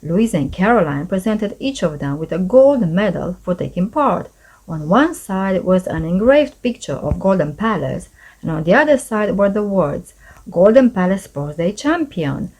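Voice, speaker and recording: A woman reading a children's storybook aloud as scripted narration, in an expressive storyteller's manner. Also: medium pitch, moderate pace, slightly rough, rough recording. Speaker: storybook narrator, channel host.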